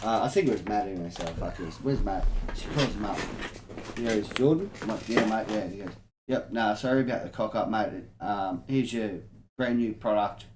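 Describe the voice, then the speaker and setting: A man with an Australian accent and a lowish voice talks casually, like he is telling mates a story. His language is blunt, slangy and full of swearing. The sound is echoey, with faint noise behind him. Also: moderate pace. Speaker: podcast co-host. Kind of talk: casual anecdote telling among friends. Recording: roomy echo, faint background noise.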